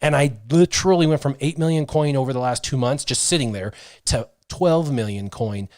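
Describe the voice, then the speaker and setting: An American man hosting a casual chat, with animated, joking banter. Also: medium pitch, brisk, no echo, studio quality. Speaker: show host.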